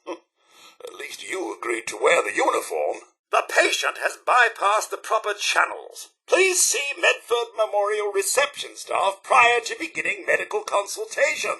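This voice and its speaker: Prim British robot butler, wildly animated. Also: male voice, high voice, slightly rough, heavily acted. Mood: haughty, sardonic. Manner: theatrical, formal, polite, prissy. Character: prim, fussy, loyal male robot butler. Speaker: voice actor. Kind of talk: video game companion voice lines.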